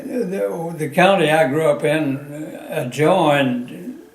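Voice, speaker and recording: A man of ninety-nine from the mountains of southwestern Virginia, recalling his life in a halting way with many pauses and fillers. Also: a little high, reverberant, rough recording. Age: 90s. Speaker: interviewee. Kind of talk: oral history interview.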